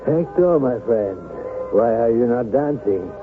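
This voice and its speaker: An actor playing a polite, fussy, concerned gentleman friend in a period radio drama, with mannered speech. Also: mid-range voice, animated, measured pace, close to an everyday voice. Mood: teasing, sociable. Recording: tinny old radio broadcast, music behind.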